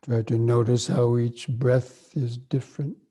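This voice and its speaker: Slow, flat-voiced man guiding meditation. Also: mid-range voice, breathy edge. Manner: slow, soft and even, with long pauses. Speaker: meditation teacher. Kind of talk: guided breathing meditation.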